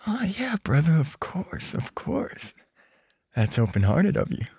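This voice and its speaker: A man's voice: on the high side, wildly swooping pitch, unhurried, gravelly, darkish tone, husky, no echo, studio quality, full character voice. A man with an American accent playing an easygoing, eager, friendly old classmate, talking in slangy bro style in an audio drama. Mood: supportive, eager. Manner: laid-back slangy bro talk. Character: easygoing, eager, friendly male former high school classmate. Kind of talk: casual, naturalistic audio drama acting.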